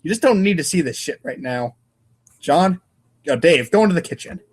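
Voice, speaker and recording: A man's voice: a little high, animated, moderate pace, breathy edge. A man reading comic narration aloud in a casual, irreverent way, stumbling over lines now and then. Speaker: podcast co-host and reader.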